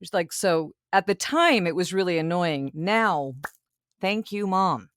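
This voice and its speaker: A woman's voice: fairly low pitch, animated, a little slow, dry recording, clean studio sound. A woman voice actor, born in Ireland, chatting as a podcast guest and laughing easily. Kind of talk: casual interview chat.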